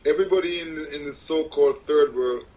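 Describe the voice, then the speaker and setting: A man's voice: on the high side, quick pace, darkish tone, slight background noise, low-quality recording. A man from Jamaica, a guest on a radio show, telling his life story in a rambling way, correcting himself and laughing at his own jokes. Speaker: guest musician. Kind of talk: casual interview conversation.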